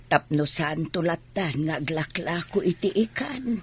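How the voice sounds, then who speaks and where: A woman with an Ilocano accent playing a weary, worried village mother in a radio drama, with a raspy voice. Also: deep voice, animated, a little slow, heavily acted.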